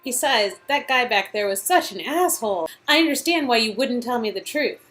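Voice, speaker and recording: Woman as young aspiring actress, supportive, sardonic, high-pitched, lively intonation, unhurried, story-game dialogue. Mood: indignant. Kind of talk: dramatic reading of game dialogue.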